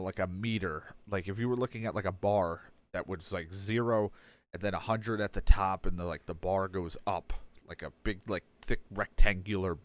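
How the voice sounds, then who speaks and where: A young man with a stuffed-up nose giving a candid personal update as a streamer, rambling and self-deprecating with many fillers and restarts. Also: on the low side.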